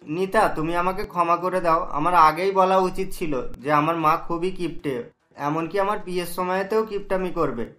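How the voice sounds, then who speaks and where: A man's voice: sits high, moderate pace, pushed and bright. A man voicing sensible grown male characters in a cartoon family tale. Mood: apologetic. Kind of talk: cartoon dialogue acting. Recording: roomy echo, music behind.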